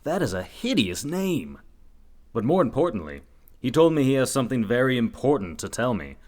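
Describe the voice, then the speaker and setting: An actor playing an earnest, dim young reporter in a comedic radio play, delivering lines in a deadpan, earnest manner. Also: male voice, mid-range voice, normal speed, close to an everyday voice.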